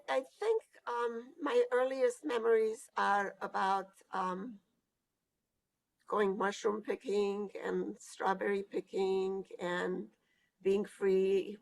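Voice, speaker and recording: An elderly woman recalls her past in an interview. She speaks very slowly, with a gravelly, creaky edge and frequent thoughtful pauses. She sounds hesitant and reflective. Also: mid-range voice, low-quality recording. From Hungary. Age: older. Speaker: Holocaust survivor giving testimony. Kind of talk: recorded oral history interview.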